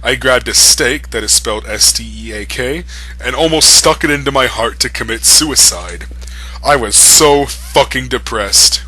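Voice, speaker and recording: A man's voice: mid-range voice, measured pace, bright, forceful tone, breathy edge, soft background music, low-quality recording. A man reading fan fiction aloud for comedy, breaking in with mocking, amused asides. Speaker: reader.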